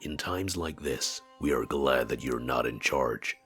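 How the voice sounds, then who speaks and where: A man voicing a stern, aloof non-human war advisor in a visual novel game, speaking formally and with measured gravity. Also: a bass voice, fairly bright tone, soft background music. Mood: stern, dismissive. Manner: formal, measured, solemn speech without contractions.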